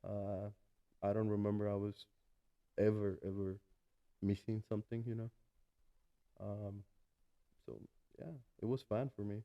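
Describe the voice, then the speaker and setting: A Mexican man in his early thirties, Spanish his first language, chatting as a podcast guest. He talks in a low, mellow voice, stopping and restarting often. The recording sounds slightly dull and lo-fi. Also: little pitch movement, unhurried, long pauses. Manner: hesitant, many fillers and restarts. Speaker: guest, food truck owner. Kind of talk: casual interview conversation.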